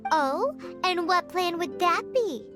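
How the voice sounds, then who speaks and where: A tiny fairy girl companion from an anime game, squeaky and very high. The childlike voice swoops up and down, bossy and excitable, spoken slowly over clear background music. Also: heavily acted. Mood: puzzled. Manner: speaks of herself in the third person. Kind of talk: anime video game voice acting.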